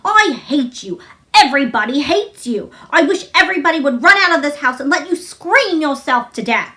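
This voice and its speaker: This is a storybook little girl, acted out by a woman, bossy and fierce. The voice sits high and rings bright. The girl shouts commands with a stubborn, furious temper. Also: lively intonation, moderate pace.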